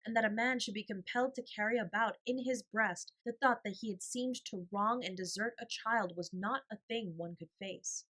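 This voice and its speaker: Female narrator for children's bedtime audiobook: bright tone, mid pitch, scripted storytelling. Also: moderate pace, hardly any pauses, low-quality recording.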